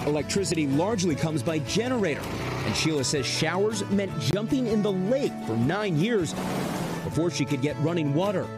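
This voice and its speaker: A young adult man working as a news correspondent, giving scripted narration and reporting on location in an upbeat way. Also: fairly high pitch.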